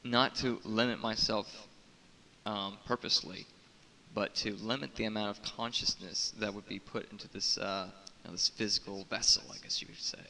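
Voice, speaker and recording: A man's somewhat low voice with a forceful, bright edge, recorded in a reverberant room over audible hiss. He talks as a solo video blogger in a halting, solemn monologue. His phrasing is cryptic, with words repeated for emphasis. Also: steady tone, creaky. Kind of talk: unscripted spiritual monologue to camera.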